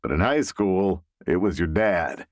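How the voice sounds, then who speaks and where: Man as amiable low-voiced middle-aged guy, sheepish. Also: animated, unhurried, no room sound, studio quality. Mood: amused, reminiscing. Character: Bernie, amiable, awkward male realtor, middle-aged.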